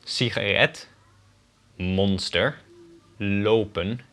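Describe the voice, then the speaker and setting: Bright-voiced Dutch man teaching vowels. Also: lowish voice, drawn-out words. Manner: clear, methodical explaining with spelled-out examples. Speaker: guest language teacher. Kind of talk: scripted pronunciation lesson.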